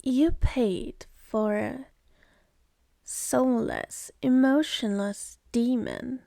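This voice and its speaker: Woman acting a creaky, slow-drawling sardonic demoness. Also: fairly high pitch, dark tone, dry recording, studio quality, heavily acted. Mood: exasperated. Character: sardonic, seductive, contemptuous female demon. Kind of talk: ASMR roleplay monologue. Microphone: close mic.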